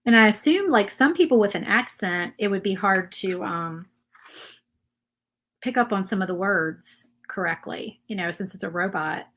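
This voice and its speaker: Chatty appalachian lady on a craft livestream, rambling, mid pitched. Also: lively intonation, normal speed, a bit bright. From Virginia, USA. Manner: chatty, rambling, reads viewer comments aloud. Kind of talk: casual live craft stream chat.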